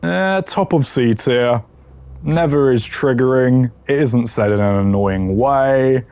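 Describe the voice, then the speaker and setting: A man's voice: mid-range voice, slow pace, a little mellow, smooth, dry recording. A man giving casual, opinionated gaming commentary in a blunt, dismissive way with dry humour. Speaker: gaming content creator ranking voice lines.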